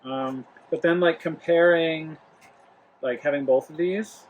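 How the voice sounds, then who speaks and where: A man's voice: a little high, steady tone, unhurried, highs cut off, a little hiss or hum. A young man from Rhode Island, hosting a casual home product review vlog, talking loosely with lots of fillers and restarts. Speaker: travel vlog host. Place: home, living room near the kitchen.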